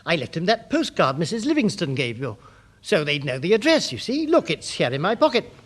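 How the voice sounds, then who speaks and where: An actor playing a timid, bungling junior English civil servant in a British radio sitcom, stammering and anxious. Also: male voice, high voice, wildly swooping pitch, a little fast, full character voice. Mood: worried.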